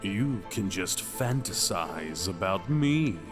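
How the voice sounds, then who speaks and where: A man's voice: low-pitched, animated, slow pace, over music. A man voicing a possessive, mad dragon king in an ASMR fantasy roleplay, theatrical and doting, purring endearments and dropping into whispers. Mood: tender menace.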